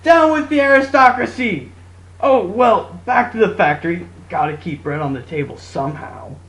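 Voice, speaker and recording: A woman's voice: medium pitch, expressive, normal speed, fairly bright tone. A woman playing a downtrodden, defiant factory worker in a scripted puppet show speech. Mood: resigned. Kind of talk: rallying puppet show monologue. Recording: echoey room.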